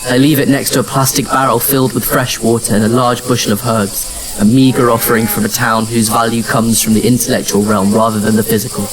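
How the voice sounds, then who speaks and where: A man narrating a scripted audio drama in the first person, with measured, serious storytelling. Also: medium pitch, flat delivery, a crowd in the background, rough recording. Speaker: narrator and lead actor.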